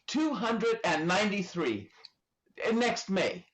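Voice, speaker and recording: An innocent, whimsical old angel in a radio play, acted by a woman. The mid-pitched voice moves up and down expressively, quaint and earnest with slight hesitations. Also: big pitch swings, slow, slight background noise. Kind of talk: community theatre radio play acting. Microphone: video call.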